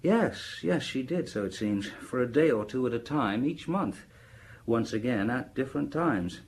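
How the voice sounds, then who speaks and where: A man's voice: medium pitch, animated, unhurried, darkish tone, slight background noise. An actor playing a diligent local police inspector in an audio drama, giving a conversational, slightly hesitant briefing to his superior. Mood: deferential. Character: local male police inspector, matter-of-fact, diligent, deferential.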